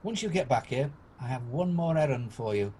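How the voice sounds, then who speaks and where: An actor playing an elderly reformed miser, excitable and flustered, newly generous, in an amateur radio play. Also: male voice, mid-range voice, moderate pace, a little hiss or hum, lightly acted. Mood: eager, generous. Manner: exclamatory, flustered, eager.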